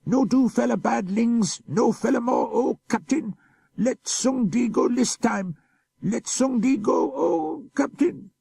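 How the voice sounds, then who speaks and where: A terrified young sailor, pleading frantically in a shrill, strained voice pushed far above his own. The husky edge of the performer still shows through the panicked begging. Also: slow, mellow, no echo, exaggerated voice.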